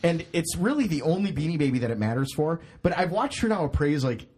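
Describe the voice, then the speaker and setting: A man's voice: on the high side, big pitch swings, fast talker, darkish tone, slightly rough. A man in his thirties hosting a podcast in casual chat, rambling, swearing and laughing at himself. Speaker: podcast host.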